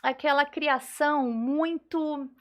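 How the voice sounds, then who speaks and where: A woman with a Carioca Brazilian accent giving personal testimony as a podcast guest, often pausing and checking for agreement. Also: sits high, steady tone. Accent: Carioca Brazilian Portuguese. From Rio de Janeiro, Brazil.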